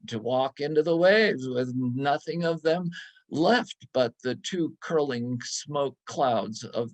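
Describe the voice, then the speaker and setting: Slow, deliberate man reading a story. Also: medium pitch, gravelly. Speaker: reader in a readers theatre. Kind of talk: dramatic literary reading of a nostalgic story.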